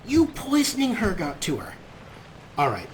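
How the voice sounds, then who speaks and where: A man's voice: high voice, huge pitch swings, moderate pace. A man hosting a video game let's play, giving chatty, wry commentary with a showman's touch. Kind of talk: gameplay commentary. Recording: clearly noisy, game ambience underneath.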